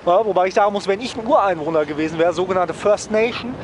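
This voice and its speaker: Higher-pitched man, wry vlogger. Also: fast talker, a little hiss or hum. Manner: wry, joking, often ends phrases with a questioning "ne?". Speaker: travel vlogger. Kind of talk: casual, rambling vlog chat to viewers.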